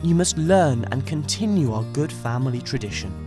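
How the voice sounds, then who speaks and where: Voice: mid-range voice, animated, moderate pace, over music, lightly acted. A performer as a lecturing mother rabbit. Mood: instructive. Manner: earnest, lecturing. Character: proud, instructive mother rabbit.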